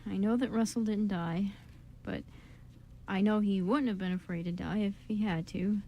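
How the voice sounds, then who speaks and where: A woman's voice: mid-range voice, steady tone, normal speed, lo-fi sound, slight background noise, natural voice. A woman voicing an earnest, loyal, shy young man in an amateur radio drama.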